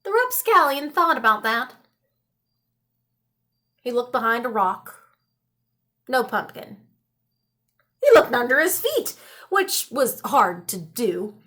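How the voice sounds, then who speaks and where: A woman reading a children's story aloud in a dramatic, expressive style with deliberate pauses. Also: on the high side. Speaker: storybook reader. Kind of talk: animated children's read-aloud.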